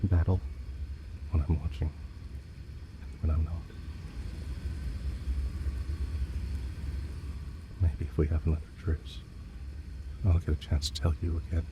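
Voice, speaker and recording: Lovestruck villain played by a man, low-pitched, earnest and hesitant, intimate audio roleplay with ambient noise behind. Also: level tone, moderate pace, long pauses, dull audio. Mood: quiet, devoted. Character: lovestruck male villain confessing to his enemy, yearning.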